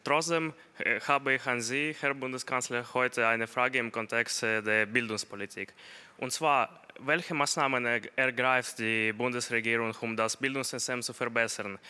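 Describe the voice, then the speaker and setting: Bright, crisp man. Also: medium pitch, fairly even intonation, moderate pace. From Ukraine. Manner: formal and polite, hesitant with many fillers. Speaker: audience member asking a question.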